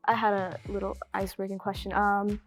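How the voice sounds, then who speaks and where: A woman's voice: medium pitch, level tone, fairly quick, music in the background. A woman around twenty with a mostly American accent with a slight foreign tinge, talking casually in a group. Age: 20s. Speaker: panel participant.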